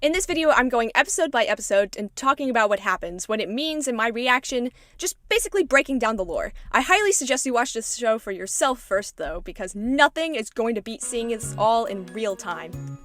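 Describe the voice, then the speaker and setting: A woman giving a casual, excited recap commentary with emphatic, exclamatory, slangy delivery. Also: on the high side, a little fast. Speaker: YouTube video essayist, fan of animated series.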